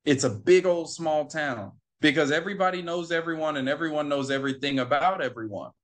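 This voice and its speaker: A man's voice: a little high, a little fast. A man telling a personal story in an interview, acting out the quoted exchanges and repeating phrases for emphasis. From United States. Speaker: interview guest. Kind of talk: conversational storytelling.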